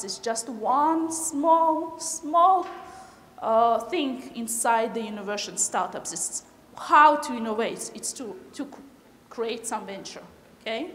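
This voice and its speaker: A middle-aged woman from Kyrgyzstan giving a conference talk, hesitant with many fillers and non-native phrasing, recorded in a large lecture hall. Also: a little high. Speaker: conference speaker. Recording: echoey hall with reverb, faint noise.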